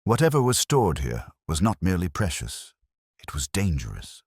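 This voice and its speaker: A man narrating a scripted mystery story as an audiobook narrator, in a measured, solemn way. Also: low voice, huge pitch swings, a little mellow, breathy edge, no room sound, studio quality.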